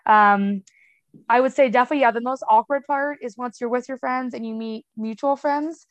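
A woman's voice: on the high side, moderate pace, no echo, muffled recording. A young woman talking casually as a podcast guest, with a marked stutter of repeated sounds and blocks and a frank, chatty manner full of fillers. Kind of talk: casual conversational interview.

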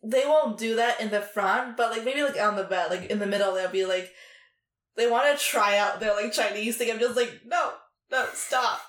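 A woman's voice: fairly high pitch, normal speed, fairly bright tone. A woman as an interview guest, chatting candidly and frankly, hesitating often and leaning on fillers. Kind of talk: candid casual chat. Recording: roomy echo.

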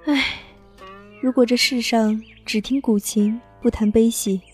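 A woman plays a wistful young heroine in an audio drama, soft and longing yet bound to revenge. Her voice is fairly high, with faint music underneath. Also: steady tone, a little slow, close to an everyday voice. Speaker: voice actor. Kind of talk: Chinese audio drama acting.

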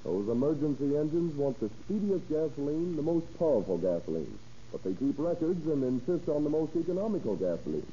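A muffled, faintly humming old radio recording carries a folksy service station owner. His very dark-toned voice swings widely in pitch as he makes an eager, persuasive sales pitch in 1930s radio style. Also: sing-song, brisk, hardly any pauses, a little hiss or hum. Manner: emphatic, persuasive. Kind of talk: 1930s radio advertisement acting.

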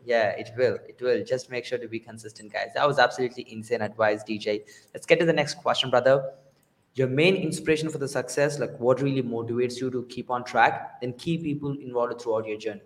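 A man's voice: medium pitch, fairly even intonation, a little fast, poor audio. Man hosting, full of eager affirmations. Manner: enthusiastic, repeats affirmations, says absolutely often. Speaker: podcast host. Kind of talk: interview hosting.

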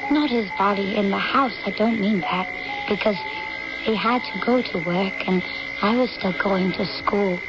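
An actress playing a devoted, needy young daughter in a radio drama, earnest, dreamy and intimate. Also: female voice, mid-range voice, a little slow, over loud music, close to an everyday voice. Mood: wistful. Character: devoted, needy schoolgirl daughter narrating her memories. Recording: noisy, dull old-time radio recording.

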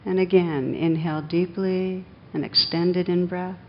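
A woman meditation teacher leading a guided meditation in a gentle, unhurried manner with long pauses, on a dull recording. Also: steady tone. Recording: dull, muffled sound with faint rumbling noise.

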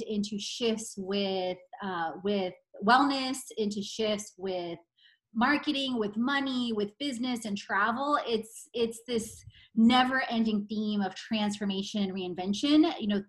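Woman in her 40s, podcast guest, bubbly and quick, higher voice, laughs easily. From Poland. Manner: enthusiastic, laughs often, fast bursts. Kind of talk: casual interview chat.

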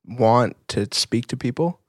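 A man's voice: mid-range voice, expressive, measured pace, darkish tone, no echo. Man, mid-range voice, dry sound, casual candid podcast chat, wry, self-deprecating. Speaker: podcast co-host.

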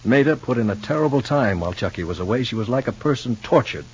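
An actor playing an earnest, concerned confidant in an old radio soap opera, with a halting, emphatic delivery. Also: male voice, mid-range voice, lively intonation, husky. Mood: pleading. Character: earnest, concerned male confidant pleading for understanding. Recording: muffled old radio recording, noisy hum.

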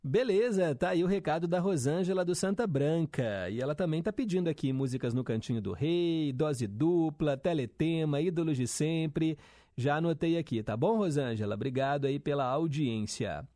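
A man's voice: on the high side, expressive, moderate pace, warm tone, no echo, clean studio sound. A young male radio host, chatting casually and reading listener messages with upbeat teasing asides.

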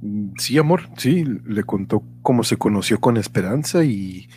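A man's voice: on the low side, lively intonation, measured pace, a little mellow, slight background noise. A man playing a laid-back, affectionate husband and father in an amateur radio drama. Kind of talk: amateur Spanish-language radio drama acting.

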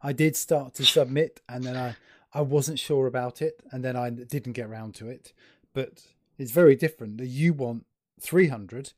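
A man's voice: medium pitch, moderate pace, no room sound. Jokey man hosting a dry video chat. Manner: jokey, loose, self-deprecating. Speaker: host. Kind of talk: casual interview chat.

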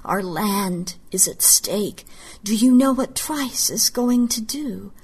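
A woman's voice: mid-range voice, fairly even intonation, takes it slowly, a little mellow, slightly rough. A woman voicing a grieving, scheming queen who speaks in a pleading whisper. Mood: whispered regret. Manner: pleading, intimate.

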